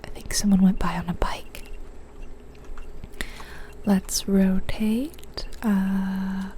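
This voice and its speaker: A young woman whispers unhurried ASMR commentary while building in a game, her breath-only voice soft and mellow. She sounds a little sniffly from a lingering cold and muses aloud to herself. A faint hiss sits under the recording. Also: medium pitch, flat delivery. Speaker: ASMR gaming streamer.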